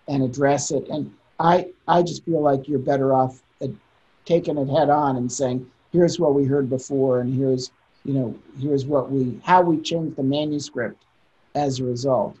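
A man's voice: a little high, mellow. A middle-aged man, a journal editor, speaking candidly on a panel over a video call. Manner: thoughtful, candid, with occasional laughs. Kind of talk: panel discussion. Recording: dull lo-fi video call audio.

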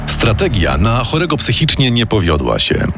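A man's voice: fairly low pitch, huge pitch swings, gravelly. Dramatic male true-crime narrator over music. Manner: dramatic, measured.

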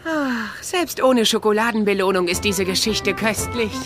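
A woman's voice: mid-range voice, moderate pace, loud music behind the voice, natural voice. A woman playing a small, eager tomcat in an audio drama, courteous, earnest and a little grandiose. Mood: contented.

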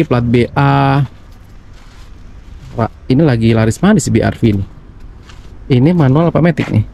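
A man's voice: mid-range voice, gravelly, mellow. A man with a Javanese Indonesian accent hosting a casual walkaround of used vehicles outdoors, chatting directly to his viewers. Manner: chatty, addresses viewers as Mas Bro. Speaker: channel host. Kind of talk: casual walkaround car review. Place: outdoor auction vehicle yard. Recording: faint outdoor background noise.

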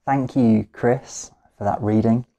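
A British man in his thirties speaks as a pastor hosting a church service. His voice is mellow and soft-edged. He gives welcomes and announcements. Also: mid-range voice, steady tone, slow. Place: living room at home, indoors.

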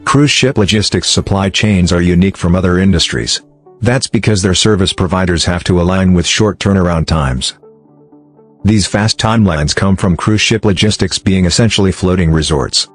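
A man reading a scripted explainer narration in a measured, formal way. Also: deep voice. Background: background music.

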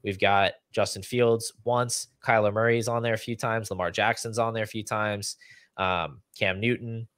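Low-voiced young man explaining stats. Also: fairly even intonation, normal speed, a bit bright, clean tone, no room sound, clean studio sound. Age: young adult. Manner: explanatory, runs through figures and lists. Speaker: podcast host. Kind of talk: fantasy football analysis, conversational. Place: small room at home, indoors. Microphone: video call setup.

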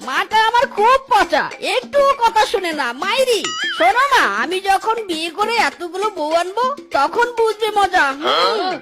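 Cartoon fox husband gone childish: very high, drawling, whiny and giggly, over loud music. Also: animated, a little slow, full character voice. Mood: whiny, pouting. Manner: childlike babbling, giggly, whining. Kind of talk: exaggerated cartoon voice acting.

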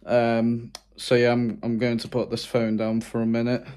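A young adult man chatting casually and haltingly to his phone in an unscripted vlog, often sounding unsure. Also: medium pitch, monotone, darkish tone, clear, resonant tone. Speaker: vlogger. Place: small room indoors. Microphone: phone at arm's length.

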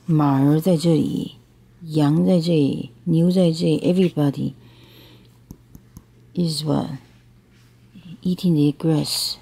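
A woman's voice: sits low, vocal fry, mellow, husky. A woman reads a picture book aloud to a child, explaining bilingually and checking understanding with questions. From Taiwan.

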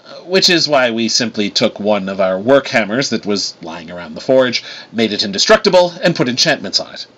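A man voicing a proud, dryly humorous dwarf craftsman who speaks in a formal, measured way. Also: lowish voice, very animated, a bit bright, breathy edge, exaggerated voice, low-quality recording. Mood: matter-of-fact.

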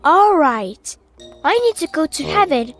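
A teasing little monkey hero for a kids' cartoon. The voice is extremely high and childlike, clever in manner, with music clearly heard behind it. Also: big pitch swings, a little slow, a bit bright, exaggerated voice. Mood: sly. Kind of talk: children's cartoon story acting.

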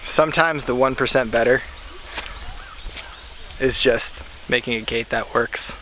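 A man's voice: mid-range voice, measured pace, fairly bright tone, poor audio. A man in his thirties chatting casually on his rural homestead, easygoing and joking. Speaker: homesteading vlogger. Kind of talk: casual outdoor chat. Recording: outdoor camera audio with clear background noise.

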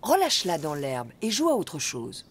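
A woman voicing a kind, reassuring mother donkey in a preschool cartoon, speaking in a gentle, motherly way. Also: sits low, huge pitch swings, normal speed, a little hiss or hum.

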